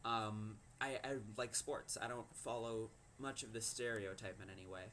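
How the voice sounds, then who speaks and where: Teen boy, fifteen, low voice, halting personal story over faint hiss. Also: bright, forceful tone. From Minnesota. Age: teens. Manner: hesitant, many ums and likes. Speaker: teen vlogger.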